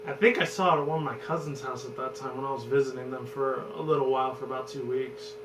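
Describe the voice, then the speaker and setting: A man in his twenties with a hoarse voice, rambling and cursing loosely as a vlog host talking about movies. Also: medium pitch, fairly even intonation, fast. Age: 20s. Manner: loose and profane, rambles and restarts. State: hoarse from screaming. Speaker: channel host. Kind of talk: rambling casual movie chat. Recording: roomy, distant, noisy with hum.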